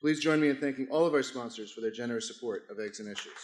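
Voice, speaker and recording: A hoarse-voiced man stands at a podium microphone and hosts a morning business forum. He reads announcements in a formal, scripted way, tripping on a word here and there. His voice rings through a large, echoey event hall. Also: medium pitch, rapid-fire, very noisy. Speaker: chamber of commerce president hosting a business forum. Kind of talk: reading sponsor announcements at a breakfast event.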